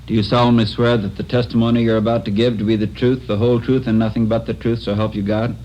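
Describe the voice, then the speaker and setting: An actor playing a dry, official coroner questioning in a formal, impersonal way in a 1950s radio drama. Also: male voice, mid-range voice, little pitch movement, fast talker, mellow, natural voice. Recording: muffled, noisy old radio recording.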